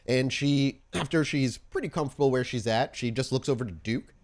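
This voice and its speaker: A man narrating an improvised tabletop game as game master, descriptive and halting as he goes. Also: medium pitch, darkish tone.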